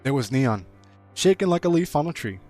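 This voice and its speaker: A man's voice: medium pitch, sing-song, a little mellow, voice pushed up, close to an everyday voice. Man reading as a folksy yarn-spinner over faint music. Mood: folksy, animated. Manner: folksy, playful, drawn-out similes. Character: folksy rural storyteller spinning a tall spooky yarn. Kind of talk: storytelling read aloud.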